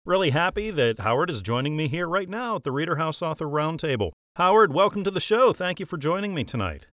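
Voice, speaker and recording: A man's voice: on the high side, big pitch swings, brisk, fairly bright tone, no echo. A man hosting an author interview show in a polished radio style, with an upbeat announcer's delivery.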